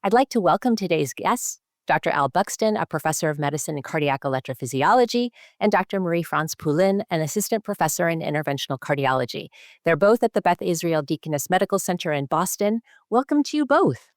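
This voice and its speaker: A woman's voice: fairly low pitch, lively intonation, fast talker, no echo, studio quality. A woman hosting a medical podcast interview, introducing guests and putting questions to them. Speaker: podcast host, medical journal editor.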